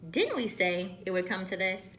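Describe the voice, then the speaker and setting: Lady doing an old boy snake from a storybook, braggy and nostalgic, bright voice, some noise behind. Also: medium pitch, very animated, moderate pace. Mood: scolding. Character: boastful, nostalgic old male green snake.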